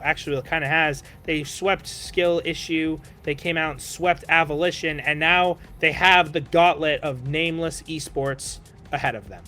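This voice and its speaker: Bright, chatty male esports caster. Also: fairly high pitch. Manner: chatty recap with many "you know" fillers. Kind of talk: live esports commentary.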